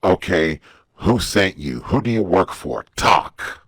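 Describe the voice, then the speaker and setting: Batman, extremely deep grim vigilante. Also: very animated, a bit bright, no room sound, clean studio sound, full character voice. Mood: menacing interrogation. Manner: terse, deliberate, grim. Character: Batman, grim commanding adult male vigilante. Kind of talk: audio storybook superhero voice.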